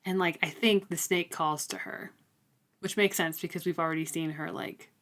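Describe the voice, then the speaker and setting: A woman's voice: on the low side, steady tone, moderate pace, fairly bright tone. A woman in her thirties with an American accent, co-hosting a casual book chat and explaining things in order. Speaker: podcast co-host.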